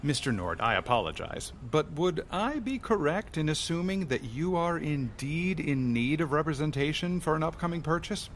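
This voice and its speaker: An actor playing a pompous, pushy businessman in a radio drama, wordy and theatrically smooth. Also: a little high, very animated, normal speed, hardly any pauses, fairly bright tone, slight background noise. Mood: apologetic.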